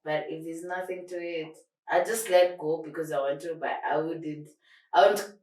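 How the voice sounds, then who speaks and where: A young woman chats on a podcast in an animated, rambling way, often breaking into laughter. Her voice sits a little low. The sound is roomy and set back from the mic. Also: moderate pace. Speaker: podcast co-host. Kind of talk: casual chat. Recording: echoey room, far from mic.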